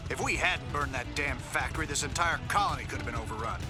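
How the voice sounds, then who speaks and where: An actor playing a gritty, defiant frontier marshal in a video game, blunt and folksy, with a Southern American accent. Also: male voice, on the high side, fast, music in the background, close to an everyday voice. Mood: angry, defiant.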